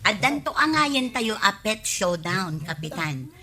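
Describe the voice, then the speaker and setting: An actress with an Ilocano accent playing a lively, talkative village woman in a comedy drama. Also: mid-range voice, a little slow. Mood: chatty, enthusiastic.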